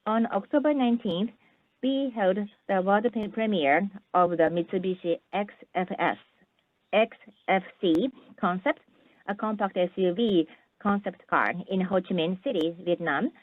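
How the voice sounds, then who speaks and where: A woman reading a formal, scripted business presentation in a measured way, stumbling now and then, as a presenter on an earnings call. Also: medium pitch, no echo. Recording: narrow, tinny phone-line sound.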